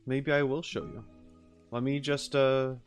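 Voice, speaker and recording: A man's voice: medium pitch, measured pace. Man chatting casually on a livestream over music. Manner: easygoing, rambling, thinking aloud. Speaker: live streamer. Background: background music.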